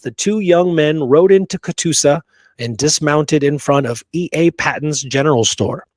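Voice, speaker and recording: A man's voice: a little high, dry recording. Man co-host with a dry, close sound. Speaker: show co-host. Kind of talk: reading a historical narrative aloud, with casual asides.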